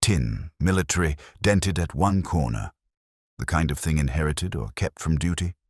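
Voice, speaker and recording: A man playing a brooding, erudite middle-aged detective who narrates a mystery in measured, literary phrases. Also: very deep voice, lively intonation, dry recording, clean studio sound. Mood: brooding, reflective. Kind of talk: scripted first-person mystery narration, audio drama.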